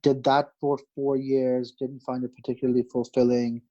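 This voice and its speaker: A man in his late thirties, originally from Pakistan, speaks as a market strategist guest on a podcast. His voice is mellow and dark, at a middling pitch. He explains things at length, stopping often to restart a phrase or add a filler. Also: flat delivery, moderate pace, highs cut off. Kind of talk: podcast interview answers.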